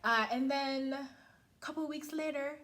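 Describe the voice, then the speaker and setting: A woman's voice: high-pitched, unhurried, slight background noise. Chatty young woman telling a story. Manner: chatty, animated retelling with many fillers. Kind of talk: casual storytelling chat.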